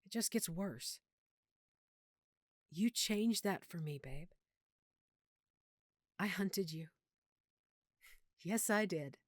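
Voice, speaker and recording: A lovesick vampire girlfriend, played by a woman, burdened by guilt over what she is. Her voice sits a little low and is very dry and close. She speaks tenderly, as if confiding in one listener, with dramatic pauses, in an intimate audio roleplay. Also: no echo. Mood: confessional, yearning. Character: lovesick, guilt-ridden female vampire hiding her nature from boyfriend.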